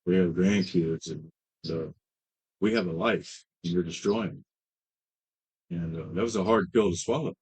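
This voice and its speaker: A man in his fifties telling his recovery story as a treatment client, halting, with many fillers and restarts. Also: sits low, darkish tone, slightly rough, low-quality recording. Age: 50s. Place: treatment center.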